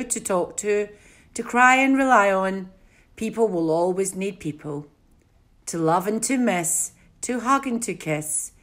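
Middle-aged woman, Scottish accent, fairly low pitch, scripted community presenter. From Scotland. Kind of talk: scripted presentation to camera and poem reading.

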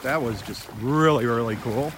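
Male outdoor vlog host, mid-pitched, easygoing and chatty, handheld phone audio with heavy water noise. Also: moderate pace. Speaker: host of a live beach walk. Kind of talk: casual live nature vlog narration. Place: outdoors on a sandbar at a beach. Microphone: handheld phone camera.

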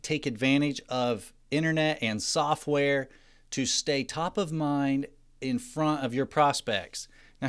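A man narrates an instructional screencast in a smooth, clear voice. His pitch sits in the middle range for a man. He explains things plainly, like a tutorial presenter walking through a lesson. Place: indoors, voice-over to screen recording.

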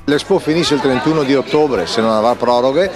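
A man's voice: mid-range voice, a little fast, over loud music. An older man from a chamber of commerce, speaking emphatically into a handheld mic outdoors. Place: outdoor expo.

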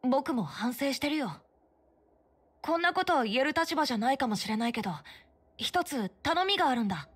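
A woman's voice: high voice, animated, a little fast, a bit bright, breathy edge, heavily acted. A woman voicing an earnest, humble, boyish youth in an anime-style game, polite and a little hesitant. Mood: earnest, apologetic. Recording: faint music under the voice.

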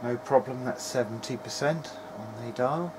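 A man talks casually to himself while working through a hands-on electronics test. His remarks come in short, halting, muttered bursts at a middling pitch. Clear background noise sits behind him in a small workshop, picked up by a camera on the bench. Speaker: hobbyist presenter of a video diary. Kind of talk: casual running commentary while testing electronics. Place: small cluttered indoor electronics workbench.

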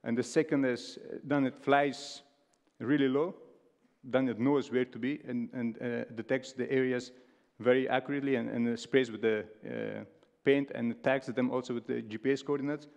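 A man in his thirties from Afghanistan giving a stage talk, halting with many fillers and restarts. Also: medium pitch, fairly even intonation, vocal fry, darkish tone, lo-fi sound. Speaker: speaker. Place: large stage hall with audience. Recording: long hall reverb.

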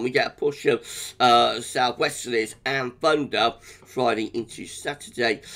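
Croaky british guy doing a chatty weather forecast, laughs a bit. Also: mid-range voice, fairly bright tone. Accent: British English. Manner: chatty, laughs often, restarts. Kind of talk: casual forecast talk over charts.

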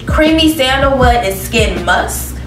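Slow, lively woman in echoey room. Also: high voice, distant mic. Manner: expressive, reads descriptions aloud, reacts with laughs. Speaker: beauty vlogger. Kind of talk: casual fragrance review. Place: living room with plants, indoors. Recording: roomy echo, mic set back, music behind.

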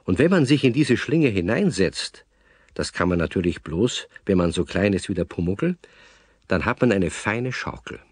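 A man's voice: on the low side, lively intonation, normal speed, breathy edge, dry recording, clean studio sound. A man narrating a scripted children's story.

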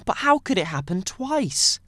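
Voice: mid-range voice, very animated, unhurried, sharp tone, dry recording, full character voice. A performer voicing an eager, indignant rabbit child in a cartoon, with a childlike manner.

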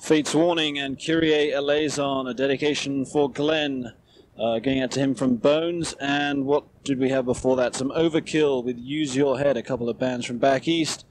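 A man's voice: medium pitch, fairly even intonation, normal speed. An old, faintly noisy cassette recording of a male radio DJ. He back-announces rock songs in the manner of a 1980s station.

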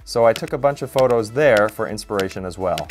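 A man's voice: lowish voice, animated, a little fast. A man in his thirties, host of a DIY channel, narrating his build in an upbeat, self-deprecating way. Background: faint background music.

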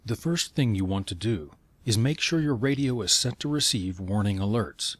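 A man narrating scripted instructions in clear, step-by-step phrasing. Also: lowish voice, animated, normal speed, no echo.

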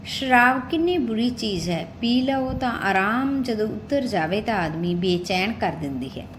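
A woman's voice: fairly high pitch, animated, moderate pace, close to an everyday voice. A woman voicing a reflective, troubled young village man in a narrated story. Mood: rueful. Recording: clearly noisy recording with background ambience.

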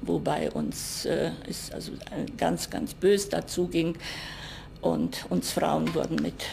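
Very creaky older woman recalling events. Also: low voice, big pitch swings, takes it slowly, a little mellow, a little hiss or hum. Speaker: eyewitness. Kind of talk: spoken recollection in an interview.

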